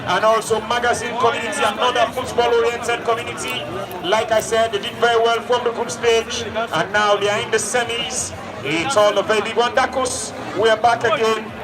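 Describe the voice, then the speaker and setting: A man's voice: very high voice, level tone, a little fast, over loud music. A man with a Sierra Leonean accent giving live football commentary in emphatic, repetitive phrases. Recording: distant mic in loud crowd. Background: loud crowd at outdoor ground.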